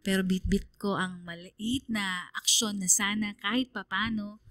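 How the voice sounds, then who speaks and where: An actress playing a quiet, reflective student who narrates her memories in a measured, wistful way. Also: mid-range voice, fairly even intonation, dry recording, close to an everyday voice. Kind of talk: scripted dramatic narration. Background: music.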